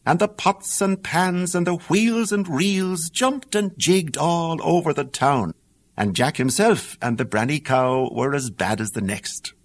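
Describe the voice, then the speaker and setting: A man with an Irish accent narrating a fairy tale audiobook in a lilting, animated storytelling manner. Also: a little high, measured pace.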